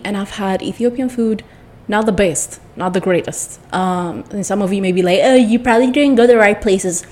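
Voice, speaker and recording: A woman chatting casually and effusively to her followers, rambling with drawn-out emphatic words, her throat congested and cracking a little. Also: medium pitch, a little mellow. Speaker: vlogger addressing followers.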